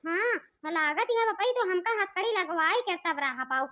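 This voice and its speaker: Dramatic village woman, extremely high thin voice, Awadhi accent, scolding and wailing, cartoon comedy, muffled audio. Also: expressive, normal speed. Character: squabbling, dramatic village women of a cartoon family. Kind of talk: comedy cartoon dialogue in rural dialect.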